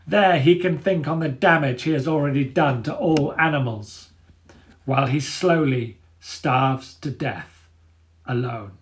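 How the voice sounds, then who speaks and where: A man's voice: on the high side, a little slow, pitched above the performer's own voice, lightly acted. A man voicing a cruel, menacing war dog who barks scornful orders in a storybook reading. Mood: commanding anger. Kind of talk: dramatic storybook character reading.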